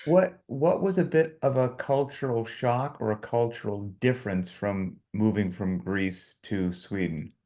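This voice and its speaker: A man's voice: fairly low pitch, unhurried, few pauses, warm tone. An American man hosting a casual video-call interview, asking questions in a friendly, chatty way. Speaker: interview host.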